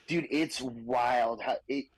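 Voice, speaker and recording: A man's voice: medium pitch, slow, no room sound. A young man and stand-up comedian talking casually on a podcast, laughing often and joking crudely. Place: Austin, Texas.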